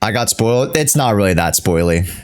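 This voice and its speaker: A man's voice: fairly low pitch, lively intonation, a little fast, background noise. A man casually chatting as a game streamer over gameplay, slangy and teasing.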